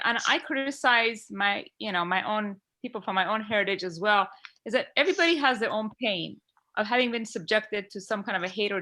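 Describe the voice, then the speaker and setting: A woman's voice: medium pitch, sharp tone. A woman from Iran speaking earnestly on a podcast video call, heartfelt and rambling with many fillers. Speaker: podcast panelist.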